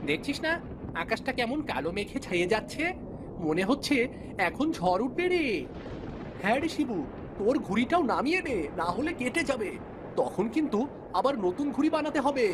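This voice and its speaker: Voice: mid-range voice, sing-song, normal speed, long pauses, background noise, natural voice. A performer voicing sensible village boys in a cartoon who warn their friend in a friendly, chatty way. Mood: scolding. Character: sensible village boys, cautious kite flyers. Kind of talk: cartoon voice acting.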